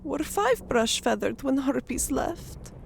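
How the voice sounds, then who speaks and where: A woman voicing a small, earnest, eager harpy messenger in an audiobook, with creaky, simple broken phrasing. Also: high voice, big pitch swings, takes it slowly, full character voice. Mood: worried, soft. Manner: simple broken phrasing, speaks of self by name. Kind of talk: audiobook character acting.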